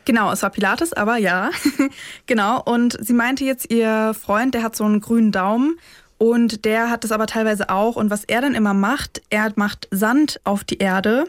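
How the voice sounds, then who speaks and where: A woman chatting casually as a podcast co-host, informal and quick to laugh. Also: fairly high pitch, fairly even intonation, no room sound, studio quality. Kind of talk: casual chat between co-hosts.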